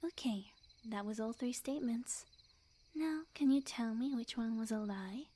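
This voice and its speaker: A woman playing a sweet, smug, possessive witch in love, in a soft, breathy ASMR roleplay addressed to the listener. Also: on the high side, natural voice. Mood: playful, teasing. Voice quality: soft, breathy, often whispered. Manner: slow, coy, teasing, overconfident.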